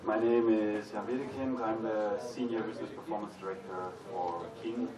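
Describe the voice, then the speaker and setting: A man from Germany with a German accent, a relaxed, joking conference panelist. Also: lowish voice, moderate pace. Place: large conference hall with audience. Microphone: stage microphone heard from far off. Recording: echoey hall, distant, loud crowd.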